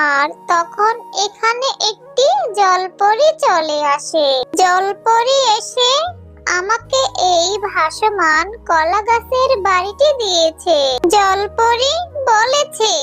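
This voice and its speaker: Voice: very high voice, pushed and bright, exaggerated voice. A performer voicing a young fox girl in a children's cartoon, with a plaintive, trusting, childlike delivery.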